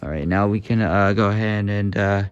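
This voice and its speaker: A man's voice: on the low side, normal speed, muffled recording. A man casually demonstrating walkie-talkies, hesitant, with many fillers and corrections of himself. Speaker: gadget reviewer.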